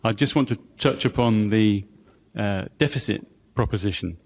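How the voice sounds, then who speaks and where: A man with an English accent, an audience member making a measured point with figures at a live debate. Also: on the low side, creaky. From England. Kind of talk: public debate comment.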